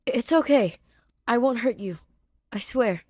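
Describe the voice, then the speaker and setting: A woman voicing a gentle, earnest male scientist in audio fiction, soothing and slightly rambling as he reassures. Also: a little high, expressive, measured pace, dry recording, clean studio sound, pitched above the performer's own voice. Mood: reassuring. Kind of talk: character dialogue in audio fiction.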